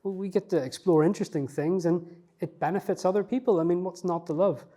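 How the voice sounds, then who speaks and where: Mellow-voiced man answering reflectively. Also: high voice, fairly quick. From not from Newcastle. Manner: reflective, self-effacing, many "you know" fillers and restarts. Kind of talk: candid interview answers.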